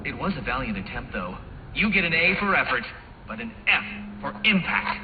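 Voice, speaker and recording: Smug villain over a tinny radio. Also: male voice, fairly high pitch, sing-song, normal speed, noisy background. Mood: mocking. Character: smug intellectual male villain, condescending schemer and information broker. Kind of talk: video game voice acting. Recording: tinny band-limited comms line, music behind.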